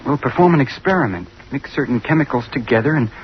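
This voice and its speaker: This is a dull vintage radio recording with faint noise. A man plays an awkward young chemistry student with a medium-pitched voice, earnest and ambitious. He speaks hesitantly and very politely, quick to apologize. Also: expressive, natural voice. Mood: earnest, dreamy. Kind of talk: old-time radio drama acting. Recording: muffled, noisy old radio recording.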